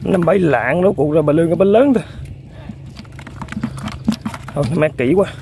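An outdoors man talks his viewers through his catch with excited exclamations. His voice is slightly high yet mellow and soft-edged. Faint noise sits under the recording. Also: fast talker, creaky. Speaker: fishing vlogger. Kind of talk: casual running commentary. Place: outdoors by a muddy riverbank.

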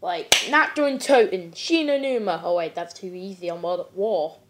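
A woman's voice: on the low side, normal speed, noisy background. A woman giving casual, slangy gaming commentary, brash and swearing now and then, with a cough that leaves her voice a bit off. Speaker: gaming video creator.

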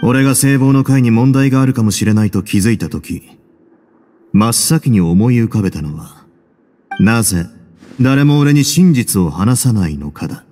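A man acting a composed, shrewd prison warden in a game, speaking with deliberate, self-assured, casual phrasing. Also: medium pitch, mellow, natural voice. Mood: composed, analytical. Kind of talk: anime game voice acting, measured explanation. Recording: reverberant game audio over background music.

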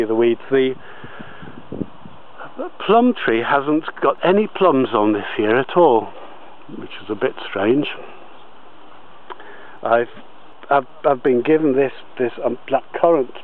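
A man talks his way slowly around his allotment, pausing and restarting often as he points things out. The sound is thin and tinny, like a phone line. Faint background noise hangs under his words. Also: medium pitch, animated. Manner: slow, halting, many fillers and restarts. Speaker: allotment gardener. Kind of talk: walking tour of his garden plot. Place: outdoors on a garden allotment.

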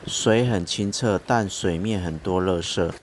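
A man's voice: sits low, a little slow. A man from Taiwan hosting a fishing vlog, narrating in a chatty, upbeat way as he shows his gear and the spot. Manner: chatty, upbeat, explains as he goes. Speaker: fishing channel host. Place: outdoor seaside fishing spot. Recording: outdoor wind and noise.

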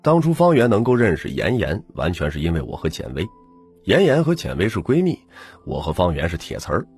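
A man with a low, very rough and husky voice narrates an audiobook in the first person. His delivery is dramatic and lively in intonation, with music playing softly underneath. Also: brisk. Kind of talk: scripted novel narration. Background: background music.

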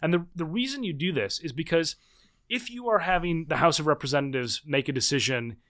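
A man in his thirties, a book author, explaining his ideas in a podcast interview with lively restarts. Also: on the high side. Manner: animated, fast explaining with restarts. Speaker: guest author.

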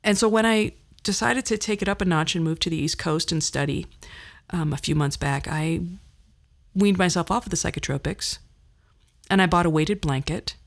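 A woman in her fifties hosting a podcast, telling personal stories in a candid, wry and self-deprecating conversational way. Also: low voice. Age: 50s. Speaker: podcast host. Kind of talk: personal monologue storytelling, conversational.

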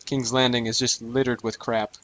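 A man's voice: mid-range voice, level tone, moderate pace, a bit bright, slight background noise. Joking young man playing a game. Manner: joking, offhand, talks to himself while playing. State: slightly hoarse. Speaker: gaming channel host. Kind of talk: casual let's play commentary.

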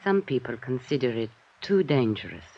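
A coy, composed young femme fatale. Her voice is breathy and expressive, evasive in the 1950s crime drama way, and the old recording is muffled. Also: a bass voice, moderate pace, exaggerated voice. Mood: coy, inviting. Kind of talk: 1950s radio drama acting. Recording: dull old radio recording.

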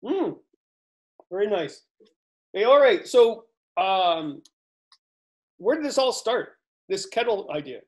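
A man's voice: high voice, expressive, takes it slowly, pauses often. A man hosting a casual video-call chat from home and asking his questions in a chummy, upbeat way. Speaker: host. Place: home room.

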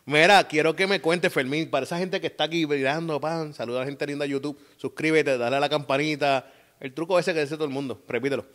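Man, Puerto Rican accent, middle-aged, fast talker, playful joking host, tired. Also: on the high side, fairly even intonation. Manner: playful, joking, shouty bursts. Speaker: podcast host. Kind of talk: casual podcast interview. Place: purple-lit indoor studio set.

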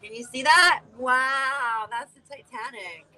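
A woman's voice: sits high, takes it slowly, pushed and bright, poor audio. A woman interviewing casually, with effusive, encouraging interjections between her questions. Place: outdoor terrace by a beach. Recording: outdoor recording with background noise.

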